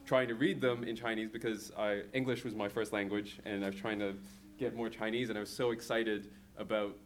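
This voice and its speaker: Soft-spoken man with a low voice. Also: little pitch movement, brisk. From Hong Kong. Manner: hesitant, many fillers and restarts. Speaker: comics creator. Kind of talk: talk to an audience.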